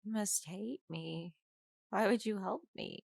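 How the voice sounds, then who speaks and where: Captive young-sounding heroine, audio drama. Confused, frightened, hesitant, creaky voice, close dry sound. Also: female voice, mid-range voice, slow pace, darkish tone, no echo, exaggerated voice. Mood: bewildered. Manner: hesitant, questioning. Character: confused, frightened woman held captive on an island.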